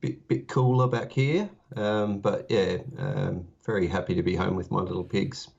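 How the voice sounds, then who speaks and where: An Australian man co-hosting a podcast in relaxed, halting chat, full of ums and restarts. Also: fairly low pitch, creaky, breathy edge, dull audio. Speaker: podcast co-host.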